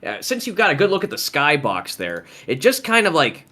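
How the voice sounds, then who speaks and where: A man's voice: a little high, wildly swooping pitch, brisk, bright, forceful tone. A man running a tabletop game as game master, rambling and circling back with lots of filler words. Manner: rambling, full of "uh" and "like". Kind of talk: narrating a tabletop game over voice chat.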